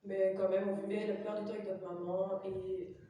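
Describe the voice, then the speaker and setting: A woman's voice: mid-range voice, flat delivery, a little fast, dark tone, far from the mic, a little hiss or hum. Hesitant Belgian woman in echoey chapel. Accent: Belgian French. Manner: hesitant, many fillers. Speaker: testimony giver. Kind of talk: personal testimony in church, interpreted.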